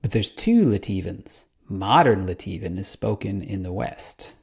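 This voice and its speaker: A man's voice: low-pitched, animated, a little slow, gravelly, a little mellow, husky. A man co-hosting a podcast about fatherhood, chatting in a playful, joking way. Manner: playful, jokey. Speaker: podcast co-host. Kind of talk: casual podcast chat.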